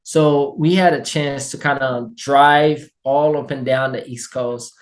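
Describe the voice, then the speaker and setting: This is a man with a Haitian accent, a guest talking through his journey with upbeat energy. His pitch sits in an ordinary middle range. He laughs easily and tells his story in a loose, casual way. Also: steady tone, slow pace. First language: Haitian Creole and French.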